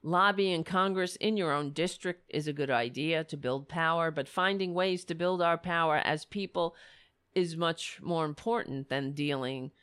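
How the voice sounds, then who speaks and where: A woman hosting a political talk show, speaking with fiery, rallying conviction and frequent restarts, her voice going hoarse. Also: low-pitched, moderate pace, fairly bright tone. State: losing her voice. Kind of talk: impassioned political commentary.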